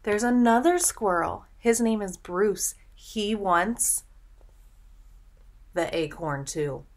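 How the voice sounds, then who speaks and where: Young woman reading slowly to children. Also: mid-range voice. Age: young adult. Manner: animated storytelling, deliberate enunciation, audible gasps. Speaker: speech and language teacher reading aloud. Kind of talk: expressive children's picture-book read-aloud with questions. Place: small furnished room at home, indoors.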